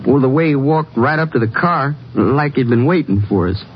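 A man's voice: mid-range voice, lively intonation, moderate pace, a little hiss or hum, natural voice. An actor playing a polite, anxious small-town young man in a 1950s radio drama, hesitant and earnest. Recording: muffled vintage radio recording.

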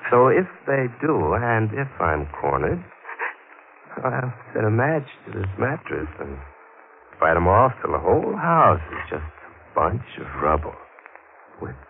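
Low, menacing radio-thriller gunman. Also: sing-song, gravelly, full character voice. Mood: menacing, taunting. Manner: mocking mock-courteous threats, drawn-out pauses. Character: menacing cornered male fugitive gunman, coolly taunting. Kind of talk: 1940s radio thriller acting. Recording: noisy, tinny old-time radio recording.

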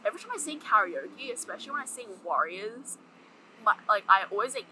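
A young woman co-hosting a podcast in casual chat, talking with lots of "like" and animated retelling. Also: medium pitch, a little fast, background noise. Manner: chatty, heavy use of "like", animated storytelling. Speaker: podcast co-host.

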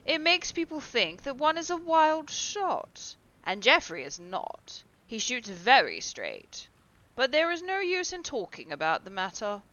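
A woman's voice: on the high side, big pitch swings, unhurried, a bit bright, muffled recording, voice pushed up. Woman playing a lofty, drawling cynical aristocrat. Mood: airy dismissive. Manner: airy, drawling and dismissive. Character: languid, cynical older male aristocrat.

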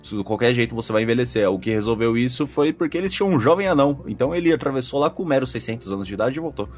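A man's voice: mid-range voice, expressive, rapid-fire, faint music behind. A man with a Brazilian accent chatting casually as a podcast co-host, explaining things in a colloquial way. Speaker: podcast co-host and game master. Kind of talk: casual chat answering listener feedback.

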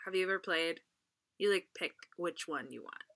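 A woman's voice: on the low side, normal speed, gravelly, fairly bright tone. A young woman from California with a Californian accent, hosting a casual chat over a video call, bubbly, laughing often and saying "like" a lot. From California, USA. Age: young adult. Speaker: podcast host.

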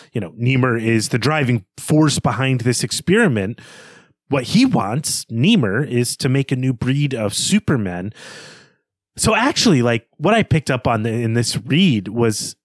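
A man's voice: medium pitch, no room sound. Man discussing literature, dry close sound. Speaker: podcast co-host. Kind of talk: literary discussion, conversational analysis.

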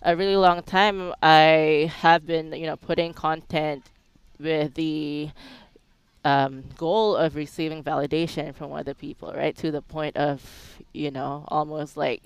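A woman's voice: sits low, level tone, a little slow, clean tone, dry recording, poor audio. A woman from Singapore talking as an interview guest, candid and introspective in casual conversation, often dropping in "like" and "you know".

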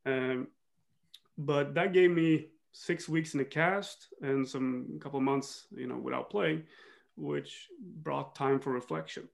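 Man, interview guest; gravelly, measured, searching for words. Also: medium pitch. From northern Sweden. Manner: thoughtful, many restarts and fillers, laughs often. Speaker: guest, football coach. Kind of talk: reflective interview talk.

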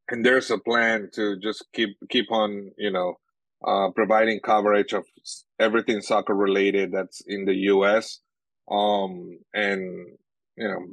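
Venezuelan guy, young adult, native Spanish speaker, low-ish voice, dry close mic, halting and filler-heavy. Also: fairly bright tone, no room sound, poor audio. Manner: halting, many fillers and restarts. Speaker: sports journalist guest. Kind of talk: casual interview answers.

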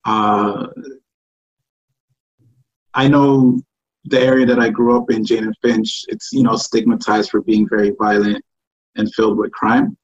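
A man, born in Ghana and raised in Toronto, answers interview questions in a relaxed way with frequent "um" pauses. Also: lowish voice, breathy edge, muffled recording. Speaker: guest, artist. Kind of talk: podcast interview.

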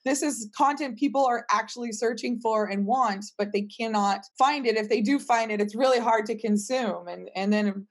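A woman's voice: fairly high pitch, moderate pace, few pauses. A woman speaking as a company founder in a casual panel conversation, talkative and emphatic, often checking her points with "right?".

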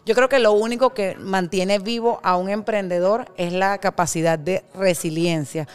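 A woman being interviewed as an entrepreneur guest, speaking in a frank, emphatic and expressive way. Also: mid-range voice, moderate pace, clean tone. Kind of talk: interview conversation.

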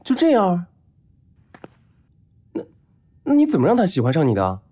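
Lively, stubborn rich young guy. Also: high-pitched, moderate pace, full character voice. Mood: eager, brash. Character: spoiled rich young man, blunt and stubborn. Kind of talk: Chinese audio drama acting.

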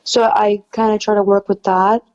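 A young woman with a soft, raspy, low-leaning voice speaks in a relaxed, chatty way, full of "like" and "you know." Her tone is warm and mellow. The audio sounds a little muffled and dull. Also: normal speed. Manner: relaxed, chatty, many fillers. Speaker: singer-songwriter guest. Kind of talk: casual interview chat.

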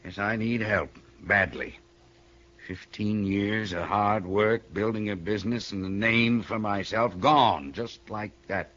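A desperate small-town banker pleads his case in a radio western. He sounds American, anxious and emphatic, with a somewhat low voice on a dull vintage recording. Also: measured pace, a bit bright. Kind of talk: 1950s radio western acting. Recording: muffled 1960 radio broadcast.

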